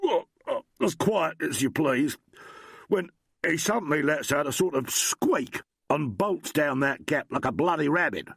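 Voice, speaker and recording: Bir adamın canlandırdığı kaba konuşan, somurtkan taşralı polis memuru. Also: male voice, on the high side, animated, measured pace, fairly bright tone, no room sound, pitched above the performer's own voice, exaggerated voice. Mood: aggrieved. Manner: rough ungrammatical slangy speech, morose. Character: gruff rough-spoken male country constable.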